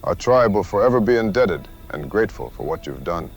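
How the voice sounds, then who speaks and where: Man playing a low-voiced, solemn war party leader. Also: sing-song, fairly quick. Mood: grateful, solemn. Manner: formal, dignified. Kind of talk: audio adventure drama acting.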